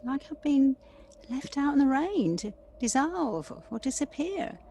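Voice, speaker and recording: A woman's voice: high voice, expressive, unhurried, creaky, warm tone, a little hiss or hum, full character voice. A woman playing an anxious, needy, emotional sister in an audio play. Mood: hurt, pleading.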